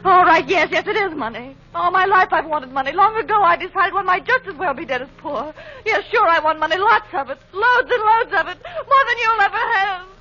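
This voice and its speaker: An actress playing a selfish, money-hungry wife, fearful and defiant, with a sharp, argumentative delivery in an old-time radio drama. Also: female voice, very high-pitched, expressive, a little fast, hardly any pauses, muffled recording, heavily acted. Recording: band-limited vintage radio recording with music.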